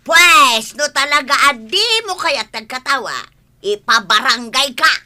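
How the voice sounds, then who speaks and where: A woman's voice: high-pitched, lively intonation, a little slow, bright, forceful tone, heavily acted. A woman with an Ilocano accent playing a pushy, nagging village wife in a comedy radio drama.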